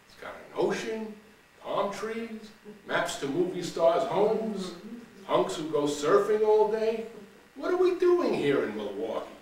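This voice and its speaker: A man's voice: high voice, sing-song, a little slow, darkish tone, voice pushed up. A man doing an eager dreamy young woman. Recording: roomy room, distant mic, faint ambience.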